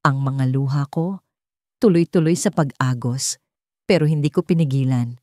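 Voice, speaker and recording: A woman narrating a scripted first-person romance story in measured, dramatic short phrases. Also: a bass voice, darkish tone, no room sound, studio quality.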